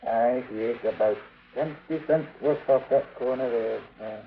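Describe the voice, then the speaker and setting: A man's voice: mid-range voice, measured pace, slightly rough. A man playing a penny-pinching, pernickety American frontier storekeeper in an old radio western, muttering over his sums. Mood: fussy, calculating. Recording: muffled, noisy old radio recording.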